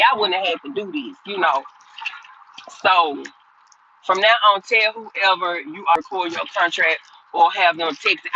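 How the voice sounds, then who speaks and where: Sharp-voiced woman in her fifties, rambling. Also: mid-range voice, lo-fi sound, slight background noise. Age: 50s. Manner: rambling and repetitive, talking straight to viewers. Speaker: livestream host. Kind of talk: casual live chat, answering viewer comments.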